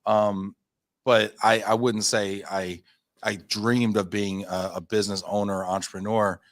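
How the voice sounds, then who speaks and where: Male guest, 30s, lower voice, chatty rambler, dry close recording. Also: flat delivery, unhurried, no echo. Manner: chatty, self-deprecating, rambling storyteller. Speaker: guest, company founder. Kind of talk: casual interview talk.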